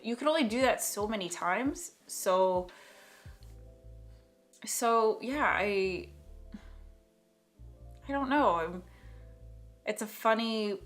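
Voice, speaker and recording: A woman's voice: mid-range voice, lively intonation, very slow, pauses often, fairly bright tone, faint music behind. A young woman vlogger thinking aloud in a reflective monologue, hesitating, restarting and trailing off. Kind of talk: reflective talking-head vlog monologue.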